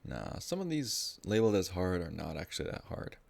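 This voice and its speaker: A man's voice: low voice, big pitch swings, brisk, creaky, darkish tone, no room sound. A man tutoring math live in a casual, informal way, thinking aloud as he explains.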